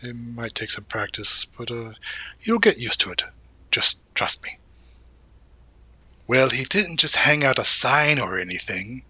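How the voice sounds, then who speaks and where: A man playing a supportive, gently teasing young college guy in an intimate audio roleplay, talking casually and reassuringly with hesitant pauses and murmured asides. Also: mid-range voice, sing-song, breathy edge, no room sound, exaggerated voice. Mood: gentle, focused. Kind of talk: intimate audio roleplay, one-sided conversation.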